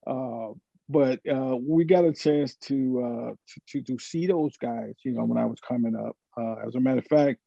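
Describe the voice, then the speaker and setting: A middle-aged man from Florida talks in a gravelly, creaky voice, reminiscing casually. He is halting and hesitant, often restarting. The audio is dry and dull, as on a lo-fi video call. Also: medium pitch, dark tone, no echo. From Florida, United States. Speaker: panelist. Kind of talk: casual reminiscing in a panel discussion. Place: virtual panel over video call.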